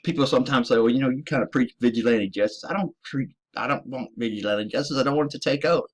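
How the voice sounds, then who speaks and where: A man doing an American military veteran on a blunt, cursing rant, hammering each point home and talking straight to his listeners. His voice sits at an ordinary middle pitch for a man. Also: quick pace. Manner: profane, emphatic, addresses listeners as ladies and gentlemen. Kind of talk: ranting monologue.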